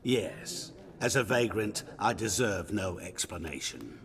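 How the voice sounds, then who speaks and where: An actor playing a sly, ingratiating vagrant merchant in a fantasy video game, with a florid, wry, theatrical delivery. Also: male voice, on the low side, lively intonation, slow. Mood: teasing. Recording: tavern crowd behind.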